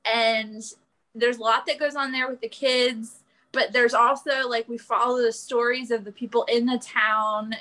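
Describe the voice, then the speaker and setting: A woman's voice: on the high side, fairly even intonation, normal speed, bright, forceful tone, low-quality recording. A woman chatting casually and enthusiastically as a podcast panelist, explaining things as she goes. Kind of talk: casual chat.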